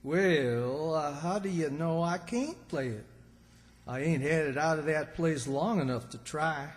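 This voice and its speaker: Slow, folksy old country man played by an actor. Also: a little high, lightly acted. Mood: indignant. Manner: folksy, hesitant, drawn-out exclamations. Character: naive, literal-minded old country man, stubborn and wisecracking. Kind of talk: old-time radio comedy acting. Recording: hall reverb, distant stage sound.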